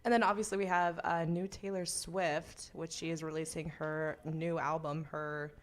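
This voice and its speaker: A woman's voice: sits low, level tone, moderate pace. A young American woman chatting casually on air as a radio host.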